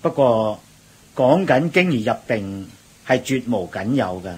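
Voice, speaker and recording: An actor playing a patient, deferential Buddhist monk who explains things gently and in a measured way in a radio drama. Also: male voice, medium pitch, animated, breathy edge. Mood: calm, reassuring. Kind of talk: Cantonese radio drama acting.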